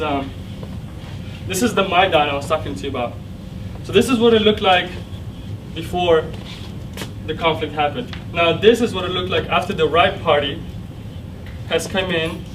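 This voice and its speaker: Young Ukrainian-accented man, native Russian speaker, student presenter, somewhat high voice, recorded far off in a lecture room. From central Ukraine. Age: young adult. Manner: explanatory, with frequent fillers and restarts. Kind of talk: classroom presentation with slides. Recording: distant mic with clear background noise.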